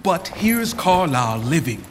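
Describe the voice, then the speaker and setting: A young noble warrior in radio Shakespeare, proud and declamatory. His fairly high voice rings in a hall with faint noise. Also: sing-song, measured pace. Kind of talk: Shakespearean radio play acting.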